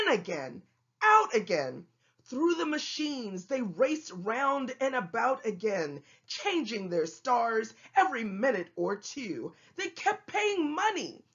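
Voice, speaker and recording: A woman narrates a rhyming storybook for children. Her voice is fairly high and a bit husky, with an expressive delivery. The recording sounds dull and lo-fi. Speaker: storybook reader.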